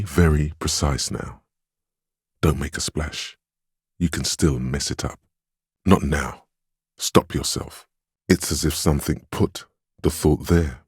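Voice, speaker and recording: An actor playing a stern, steadfast inner voice of willpower in a video game, giving measured, solemn counsel and urging composure. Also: male voice, a bass voice, a little mellow, breathy edge, no room sound, clean studio sound, heavily acted. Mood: firm, urging, then grave, consoling. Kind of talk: dramatic video game voice acting.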